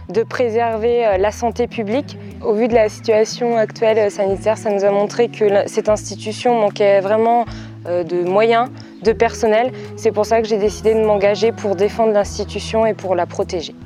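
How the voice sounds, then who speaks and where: A woman in her twenties giving an earnest, measured campaign statement. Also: medium pitch, fairly even intonation. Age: 20s. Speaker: night nurse, election candidate. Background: music.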